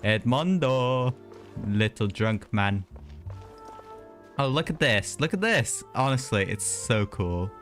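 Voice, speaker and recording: A man's voice: mid-range voice, lively intonation, takes it slowly, fairly bright tone, soft background music. A man giving casual live commentary on a game, chatty and easygoing as he reacts aloud.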